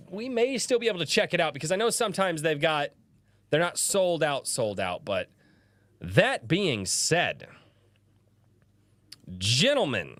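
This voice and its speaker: A man hosting a casual livestream podcast, animated and rambling, quick to laugh. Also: fairly high pitch, dry recording. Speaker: podcast host.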